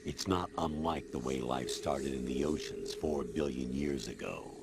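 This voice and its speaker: A man voicing a formless artificial intelligence in a video game, lecturing in a measured, authoritative, matter-of-fact way. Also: a bass voice, vocal fry, heavy background noise, exaggerated voice. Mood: cold, lecturing. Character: formless male artificial intelligence posing as colonel, coldly lecturing. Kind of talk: video game cutscene acting. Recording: loud music behind game dialogue. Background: loud music.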